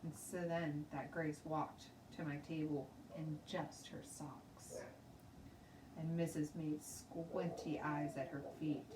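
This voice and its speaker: A woman teacher reading a children's storybook aloud in an expressive, deliberate way. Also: sits low. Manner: deliberate, animated read-aloud. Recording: roomy, distant mic, faint noise.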